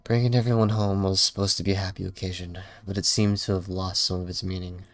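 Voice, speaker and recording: A woman's voice: extremely low pitch, level tone, fast. A woman giving casual, offhand game livestream commentary with wry, playful reactions. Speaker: streamer. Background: game music.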